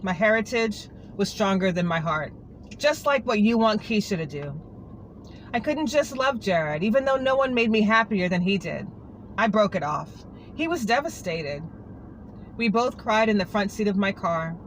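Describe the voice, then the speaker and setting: Heartbroken anchorwoman pouring her heart out to a coworker, earnest and pleading, middle pitch, as a reader puts her on. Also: lively intonation, normal speed, a bit bright, a little hiss or hum, natural voice, low-quality recording. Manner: earnest, pleading, confiding to a colleague. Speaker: monologue reader. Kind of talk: dramatic monologue reading.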